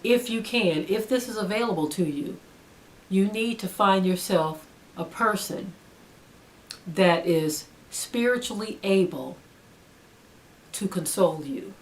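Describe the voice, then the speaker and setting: A woman's voice: medium pitch, lively intonation, slow pace, long pauses, rough recording. A woman giving an earnest spiritual teaching, emphatic and repeating phrases for stress. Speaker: religious teacher. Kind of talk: spiritual teaching, sermon-like monologue.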